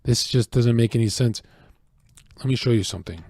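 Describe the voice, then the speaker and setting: A tired man holds forth in a casual, profane monologue, preaching his views and stumbling over restarts. His voice is mellow and dark at a middle pitch. The sound is dry and close. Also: brisk, husky, dry recording. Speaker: streamer.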